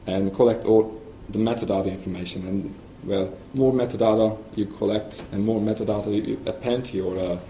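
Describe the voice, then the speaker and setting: A man with a Hungarian accent giving a conference presentation as a software engineer, hesitant and full of ums and restarts. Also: on the low side, fairly even intonation, brisk, hardly any pauses, echoey room, a little hiss or hum, rough recording.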